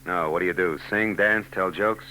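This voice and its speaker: An American actor playing a wry, tough private detective, narrating a 1940s radio drama in a hard-boiled, terse way. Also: male voice, sits low, moderate pace, pushed and bright, slight background noise, poor audio. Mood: dry sarcasm. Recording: old radio broadcast tape.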